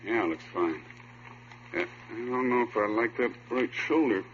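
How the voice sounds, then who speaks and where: A man's voice: mid-range voice, a little slow, fairly bright tone, lightly acted. An actor playing a cocky, dapper male gangster in an old radio drama, casual and slangy, on a muffled, slightly noisy recording. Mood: fussy, curt. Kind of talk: 1950s radio drama acting. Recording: muffled vintage radio recording, faint noise.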